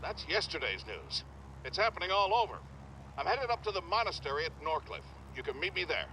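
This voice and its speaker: Jovial, animated doctor, bright voice. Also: male voice, a little high, fairly quick, slight background noise, low-quality recording. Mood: brisk, amused. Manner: chatty, jolly, hesitant at times. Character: jovial, kindly male scientist doctor. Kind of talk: animated game cutscene acting.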